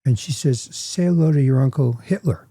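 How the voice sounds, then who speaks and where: A man's voice: mid-range voice, brisk, warm tone, no echo. Inquisitive man hosting a podcast, dry sound. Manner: curious, asks many questions. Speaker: podcast host. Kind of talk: casual podcast chat.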